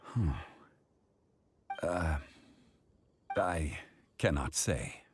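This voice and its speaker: A man playing a solemn, wise, ancient god in human form in a video game, speaking in formal, measured, dignified phrases. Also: very deep voice, expressive, slight background noise, heavily acted. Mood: reluctant, apologetic. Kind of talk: scripted game dialogue, storytelling.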